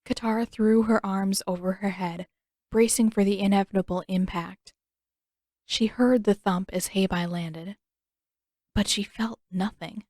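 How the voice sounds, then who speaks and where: A woman narrates a scripted adventure story in a medium-pitched voice. The recording is dry and close, like an audiobook. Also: fairly even intonation, unhurried, mellow, dry recording.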